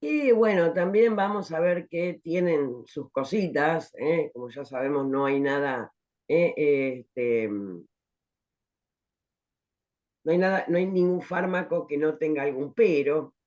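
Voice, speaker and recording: A woman's voice: low voice, animated, takes it slowly, darkish tone. Lady teaching pharmacology online, asks herself questions, kinda muffled lo-fi audio. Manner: explanatory, rhetorical questions, frequent "¿eh?" tags. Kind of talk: online class lecture.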